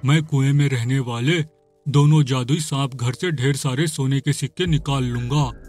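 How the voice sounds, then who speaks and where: A man's voice: medium pitch, steady tone, moderate pace, darkish tone, soft background music, close to an everyday voice. A man voicing a greedy, arrogant village man in a cartoon, with an exclamatory, boastful delivery. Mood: scheming.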